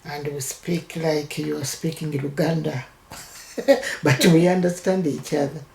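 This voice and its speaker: An older woman from Uganda with a Ugandan accent, telling her life story in an interview and speaking in halting phrases with many restarts. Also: deep voice, gravelly, a little mellow, roomy sound. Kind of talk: oral history interview.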